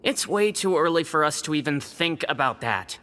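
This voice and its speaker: A woman's voice: deep voice, animated, moderate pace, a bit bright, slight background noise. A woman playing a sardonic, chronically ill prisoner in a game, with a dry, blunt, needling manner. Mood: wry, bitter. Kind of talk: English game dub acting.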